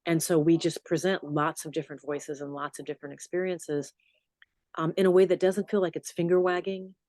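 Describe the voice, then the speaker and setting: A middle-aged woman journalist with a low voice, answering interview questions in a storytelling manner with animated asides. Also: fairly quick, no echo. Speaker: journalist and podcast host.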